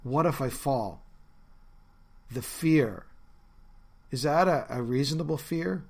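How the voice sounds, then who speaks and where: A man's voice: fairly high pitch, takes it slowly, vocal fry, a little mellow. Earnest man with a cold. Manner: earnest, conversational, with frequent uh fillers. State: has a bad cold, voice lower than normal. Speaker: podcast host and pastor. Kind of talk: reflective solo podcast talk.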